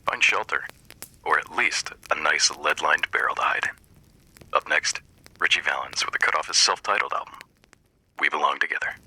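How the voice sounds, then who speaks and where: A man's voice: a bass voice, normal speed, pauses often, bright, forceful tone, husky, whispered, full character voice, low-quality recording. A man playing a friendly, wry post-apocalyptic radio host who speaks intimately to the listener in scripted DJ patter.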